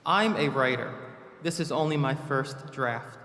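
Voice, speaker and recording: A man's voice: mid-range voice, unhurried, bright, forceful tone. Man reading short poems in reverberant hall. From New Jersey, United States. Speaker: poet reading his own short poems. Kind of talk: live poetry reading with brief introductions. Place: large reverberant room, live event. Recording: echoey hall with long reverb.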